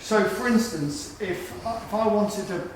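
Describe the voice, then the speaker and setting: A man's voice: high-pitched, slow. A man in his fifties, a guest on a casual panel discussion, chatty and self-mocking and often stumbling over his words. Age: 50s. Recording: echoey room, distant mic, noisy.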